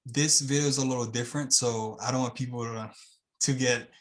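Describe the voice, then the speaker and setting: A man's voice: medium pitch, steady tone, a little fast. A man from Haiti with a Haitian accent, a guest talking on a video call in an earnest, rambling way with lots of fillers. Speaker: guest, channel owner. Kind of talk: casual video-call interview.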